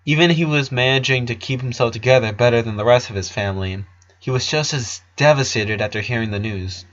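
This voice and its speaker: A man narrates a story from a script. His voice is bright and forward at a middle pitch, and the recording is slightly dull. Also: a little fast. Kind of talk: scripted narration, fan fiction reading.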